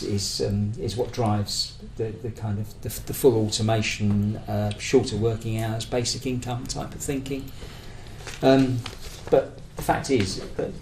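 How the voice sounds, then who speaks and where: A man's voice: mid-range voice, darkish tone. Echoey talk by a hesitant British man. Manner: hesitant, searching for words, discursive. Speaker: trade union speaker. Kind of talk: conference talk, semi-prepared political argument. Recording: echoey room with faint background noise.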